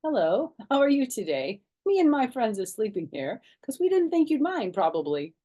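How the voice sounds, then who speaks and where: A woman plays an excitable little schoolgirl from a children's storybook. The delivery is anxious and emphatic, lifted high and pushed louder, with dramatic childlike phrasing. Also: moderate pace. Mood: sheepish. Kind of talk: animated children's storybook reading.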